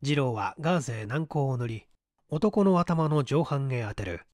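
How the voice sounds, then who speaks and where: A man's voice: medium pitch, animated, moderate pace, darkish tone, no echo. Male audiobook narrator, dry close sound. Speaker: audiobook reader. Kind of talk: scripted narration of a mystery story.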